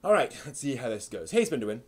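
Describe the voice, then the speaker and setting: A man with a mid-pitched voice speaks as a live stream host. He talks informally through a review, backing up to reword his points as he goes. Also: sing-song, quick pace, darkish tone, husky. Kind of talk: casual live review monologue.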